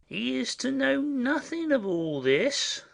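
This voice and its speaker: A man playing a simple, indiscreet rustic messenger in a classic stage comedy, chatty and confiding in a secretive, halting way. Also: very high-pitched, big pitch swings, creaky, exaggerated voice.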